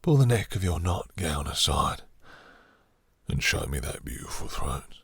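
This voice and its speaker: Seductive male vampire for intimate audio roleplay, played by a man: very low, gravelly, slow and possessive. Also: big pitch swings, fairly bright tone, dry recording, exaggerated voice. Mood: seductive, teasing. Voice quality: deep, breathy, gravelly whispery murmur. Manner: slow, teasing, silky, commanding.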